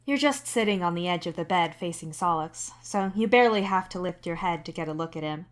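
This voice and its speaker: Slightly low-voiced woman narrating fiction. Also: expressive, brisk. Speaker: podfic narrator and author. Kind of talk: scripted second-person story narration.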